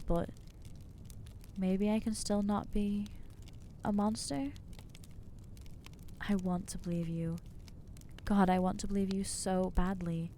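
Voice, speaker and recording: A woman playing an anxious, newly turned vampire in an ASMR roleplay, speaking in a hesitant, earnest, halting way with gentle inner conflict. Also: medium pitch, slight background noise, close to an everyday voice. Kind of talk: ASMR roleplay acting, intimate monologue.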